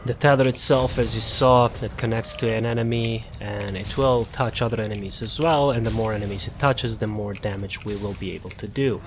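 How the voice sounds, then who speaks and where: A man's voice: lowish voice, steady tone, brisk, hardly any pauses, noisy background. A man casually explaining a video game build as he plays, improvising and correcting himself as he goes. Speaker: gamer presenting a character build guide. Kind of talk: casual gameplay guide commentary.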